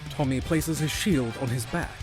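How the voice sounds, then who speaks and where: A man's voice: medium pitch, moderate pace. A man narrating a scripted fantasy audio drama as a storyteller. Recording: music behind.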